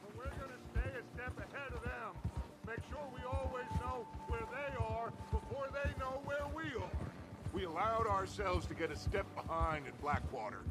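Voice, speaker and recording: A man's voice: extremely high pitch, normal speed. An actor playing a confident, commanding male outlaw gang leader in a video game. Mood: resolute. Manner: assured, commanding. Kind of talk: video game character acting. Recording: loud game music behind the voice.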